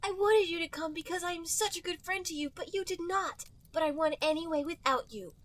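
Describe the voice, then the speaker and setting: Woman voicing a high, whiny little fox boy. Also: a little fast. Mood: sad, mad. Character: whiny, hurt young male fox sidekick.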